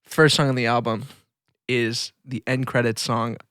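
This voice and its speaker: A man's voice: medium pitch, level tone, a little slow, fairly bright tone, clean tone, dry recording, clean studio sound. A man co-hosting a podcast in casual, slangy chat, enthusiastic about the things he likes. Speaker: podcast co-host. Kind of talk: casual chat between friends.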